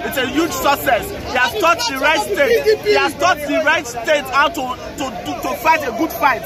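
A man's voice: extremely high pitch, moderate pace. A man in his thirties from Edo State with a Nigerian English accent, talking fervently into a phone held at arm's length at a busy outdoor street rally, stumbling and repeating himself. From Benin City, Edo State, Nigeria. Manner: fervent, repetitive, stumbles over words. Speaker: party supporter at a street rally. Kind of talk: excited street interview. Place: busy roadside outdoors beside a fence. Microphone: phone selfie at arm's length. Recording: loud cheering crowd behind.

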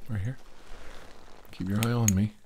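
Man acting as quietly insistent doctor, ASMR roleplay. Low, dark, soft delivery, deliberate instructions, noticeable background hiss. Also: fairly even intonation, natural voice, poor audio. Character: probing, insistent male doctor examining a nervous patient. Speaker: ASMR performer playing a doctor. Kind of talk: soft-spoken ASMR medical exam roleplay. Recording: clear background hiss and noise.

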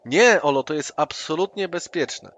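A man's voice: fairly high pitch, expressive, moderate pace, a bit bright. A man playing his character at an online tabletop session, persuasive and scheming. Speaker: player. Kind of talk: in-character roleplay. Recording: dull online call audio with noise.

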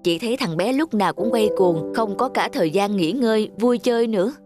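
A woman voicing a kind, soft-spoken, understanding young mother in a cartoon. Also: medium pitch, a little fast, over music, close to an everyday voice. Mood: concerned. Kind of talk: cartoon dubbing.

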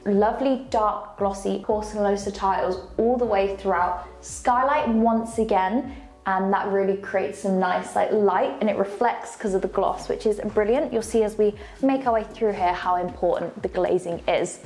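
A woman's voice: medium pitch, moderate pace, darkish tone. Enthusiastic young woman presenting. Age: young adult. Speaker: presenter of a luxury home tour show. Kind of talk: presenting a house tour. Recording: light background music. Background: faint music.

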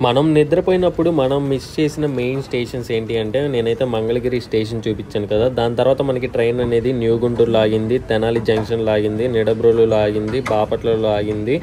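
A man's voice: fairly even intonation, quick pace, hardly any pauses, mellow. A man with a Telugu accent chatting as a travel vlogger inside a moving train, explaining stations as he goes. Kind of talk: casual travel vlog commentary. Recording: train rumble in background.

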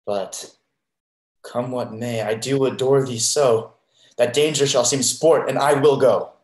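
An earnest, simple gentleman acted by a young man speaks stage dialogue at a slow pace. The voice has a middling pitch. A roomy echo comes through the video call. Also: fairly even intonation, natural voice. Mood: devoted. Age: 20s. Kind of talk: Shakespearean play reading. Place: bedroom. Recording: echoey room over video call.

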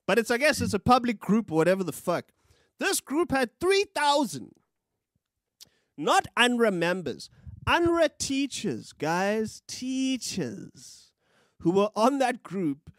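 A man's voice: high voice, measured pace, dry recording. A young South African man co-hosting a podcast, giving heated, profane news commentary with big hand gestures into a studio mic. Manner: animated, profane, gesturing with his hands. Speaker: podcast co-host. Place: small studio room. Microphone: studio mic on a boom, with headphones.

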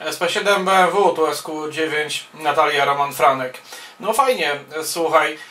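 A man working as an amateur radio operator, speaking casually and haltingly over a radio contact from a small home room. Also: fairly high pitch, very noisy. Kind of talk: casual ham radio contact, spoken in turns. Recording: roomy, echoing home-video sound.

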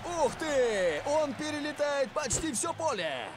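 A man's voice: very high-pitched, takes it slowly, noisy background, exaggerated voice. An actor playing an enthusiastic, easily astonished male football commentator in a cartoon, speaking emphatically. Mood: excited. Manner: emphatic, animated.

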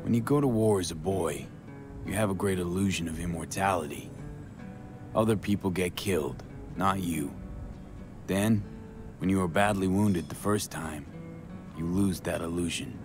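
Game role, male actor: grieving street merc, young, tough and loyal. Deep register, solemn measured talk, guitar score underneath. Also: lightly acted. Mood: solemn, fond. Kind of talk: cinematic video game acting. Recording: music behind the voice. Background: plucked guitar music.

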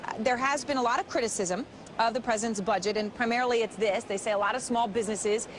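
A woman's voice: fairly high pitch, slight background noise. Poised woman anchoring business news. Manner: brisk, poised questioning. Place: TV news studio.

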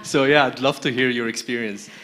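A man in his thirties from Canada moderates a conference panel. His voice is bright and forward, and he talks informally, often repeating himself. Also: mid-range voice, fairly even intonation, fairly quick. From grew up in Montreal, Canada. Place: indoor conference stage. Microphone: handheld microphone.

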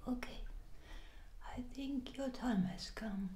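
Slow, whispering ASMR woman in roomy sound. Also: fairly high pitch, expressive. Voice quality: soft, breathy, mostly whispered. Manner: slow, soothing, with repeated phrases. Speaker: ASMR creator. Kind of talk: ASMR, soft intimate role-play talk to the listener. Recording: roomy echo, clear background noise. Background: noise and clicking of tapped glass.

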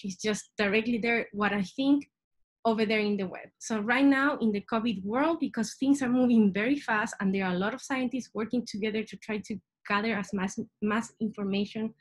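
A young woman from Chile with a Chilean Spanish accent, giving an informal science presentation over a video call as a PhD student. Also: on the high side. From Santiago, Chile. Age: young adult. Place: small indoor room at home. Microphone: webcam video call.

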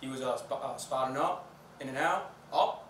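A man's voice: medium pitch, normal speed, fairly bright tone. A young man, a college baseball catcher, giving casual answers in a post-game interview. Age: young adult. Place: indoor press conference room at a table. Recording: echoey, distant mic, faint noise.

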